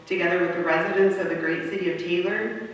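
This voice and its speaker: A woman's voice: fairly low pitch, fairly even intonation, quick pace. Councilwoman, formal read-out at a public meeting, distant mic in a reverberant hall with some noise. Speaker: city councilwoman. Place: large indoor council chamber. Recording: echoey hall from far off, muffled, faint noise.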